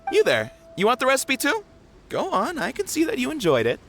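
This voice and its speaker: A man's voice: extremely high pitch, huge pitch swings, a little fast, fairly bright tone, soft background music, full character voice. A man voicing a friendly, generous snack stall vendor at a festival in a video game. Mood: encouraging. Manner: easygoing, generous, chatty.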